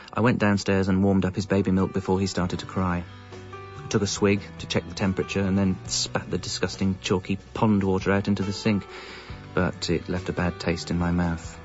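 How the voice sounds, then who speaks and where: A man's voice: deep voice, darkish tone, lightly acted. A man acting a guilt-ridden married father in a British radio comedy drama, in a British accent, with a wry, confessional manner. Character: guilt-ridden married father narrating his double life. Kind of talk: scripted first-person narration and acting in radio comedy drama. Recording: dull, muffled audio with music.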